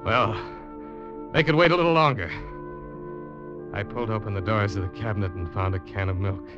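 Male lead, 1940s radio adventure: weary, humbled storyteller acted by a man, lowish voice, dull recording under loud orchestral music. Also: brisk, natural voice. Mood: solemn, tender. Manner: dramatic, earnest. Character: weary ship's man humbly narrating his own ordeal. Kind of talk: 1940s radio drama acting, first-person narration.

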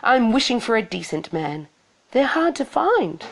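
A woman voicing a demanding, excitable young fairy-tale heroine in a theatrical, whining way. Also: fairly high pitch, unhurried, creaky, voice pushed up, heavily acted. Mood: pleading.

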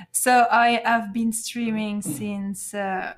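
Soft-spoken belgian woman w a french accent, chatting as a podcast guest, laughs a lot. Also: on the high side, steady tone, drawn-out words. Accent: French-speaking Belgian. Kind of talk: casual interview chat.

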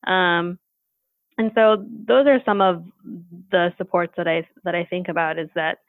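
A woman with a gravelly, creaky edge to her voice talks through the issues in an explanatory podcast chat. She keeps checking in with "right?" as she goes. The sound is thin and phone-like, with a dry, close feel. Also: on the low side, steady tone, a little slow, no room sound. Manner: thoughtful, explanatory, many "right?" tags. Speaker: guest expert on teacher preparation. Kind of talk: podcast conversation.

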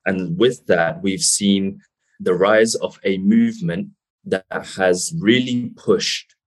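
A man hosting an interview, talking in a relaxed, conversational way and using Islamic phrases. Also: low voice, takes it slowly, low-quality recording. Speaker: host. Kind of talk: casual interview.

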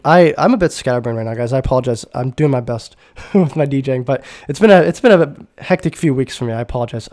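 Guy with a cold talking music on his podcast, nasal and stuffy, gets excited and rambles, average pitch. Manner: enthusiastic, rambling, self-correcting. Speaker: podcast host. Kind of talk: casual music podcast talk.

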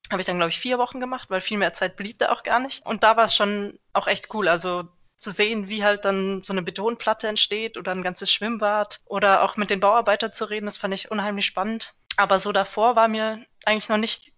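A young woman from Germany, a civil engineer answering interview questions in a relaxed, thoughtful way with many small fillers. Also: medium pitch, brisk, few pauses. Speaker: podcast guest, civil engineer.

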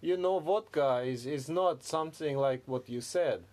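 Man doing a deadpan Russian tough guy, broken English, slightly higher voice. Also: normal speed, lightly acted. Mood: threatening. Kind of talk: improvised comedy banter.

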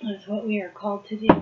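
Woman, live study host, hoarse, chatty, muffled stream audio. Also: a little high. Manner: chatty, laughs often, warm southern "y'all" address. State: losing her voice. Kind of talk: casual live Bible study talk.

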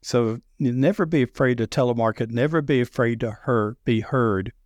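A middle-aged man with a deep Southern accent, talking loudly and telling sales stories with many restarts. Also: moderate pace, no room sound. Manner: loud, animated storyteller with frequent restarts. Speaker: sales podcast guest. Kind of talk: casual conversation.

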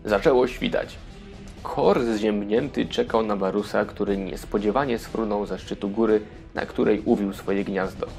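A man's voice: lowish voice, steady tone, normal speed, vocal fry, darkish tone, faint music behind. A man narrating a scripted fairy tale for children as the storyteller of an audio play.

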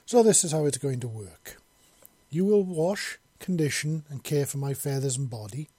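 An imperious bird-woman mistress from an audiobook tale, performed by a man. She speaks formally and precisely, curious yet used to giving orders. The voice is a little rough and mellow, recorded very dry. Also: medium pitch, moderate pace, dry recording, close to an everyday voice. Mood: commanding. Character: imperious, curious female bird-creature mistress, commanding and innocent.

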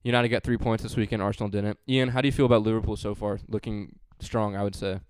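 A man's voice: lowish voice, level tone, quick pace, darkish tone, smooth, dry recording. A man hosting a casual sports podcast, chatty and joking, with frequent fillers. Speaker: podcast host.

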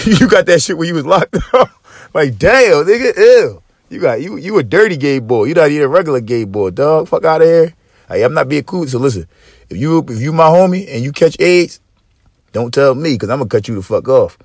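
A man hosting a podcast in casual chat, with a slightly raspy voice and loose, profane, joking rants. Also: lively intonation, a little fast, no room sound, clean studio sound. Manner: profane, joking rants with big pitch swings. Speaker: podcast host.

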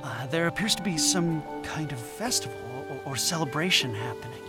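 A man's voice: on the high side, lively intonation, fairly quick, loud music behind the voice, lightly acted. A man acting a scatterbrained, dreamy anthropology professor in an audio drama, earnest and prone to hesitant stammering. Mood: intrigued.

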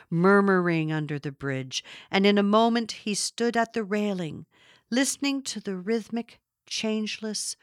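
A woman narrating a literary story as an audiobook reader, with measured, deliberate and expressive phrasing. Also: lowish voice, dry recording, clean studio sound.

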